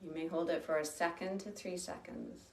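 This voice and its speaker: A woman with a fairly low voice leads a guided breathing practice. She talks slowly and gently, leaving long pauses between phrases. She is recorded from a little away from the mic, in a room that echoes. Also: wildly swooping pitch, distant mic. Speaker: meditation teacher. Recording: roomy sound from off the mic.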